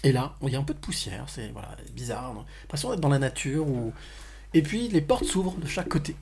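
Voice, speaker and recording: A man's voice: medium pitch, big pitch swings, fairly quick, darkish tone, a little hiss or hum. A man hosting a conversational podcast interview, often repeating and rephrasing as he goes. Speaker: podcast host.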